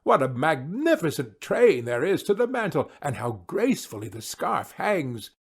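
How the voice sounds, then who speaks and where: A man voicing gushing, flattering courtiers and townsfolk in a storybook, with effusive exclamations. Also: fairly high pitch, moderate pace, creaky, husky, heavily acted.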